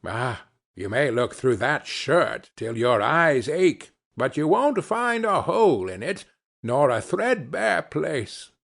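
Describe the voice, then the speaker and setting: A man's voice: very animated, slow, gravelly, fairly bright tone, heavily acted. A man voicing a coarse, greedy woman who cackles and mocks. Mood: cool. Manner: shrill cackling laughter. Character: coarse scheming old charwoman, mocking and greedy.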